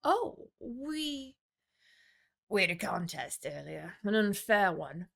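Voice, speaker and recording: Lady reading as a young fighter girl, wry and wary, dry little delivery, bit of fry, fantasy audiobook. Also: mid-range voice, big pitch swings, takes it slowly, a bit bright, heavily acted. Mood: flustered. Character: young female fighter, wry, guarded, flustered yet dry.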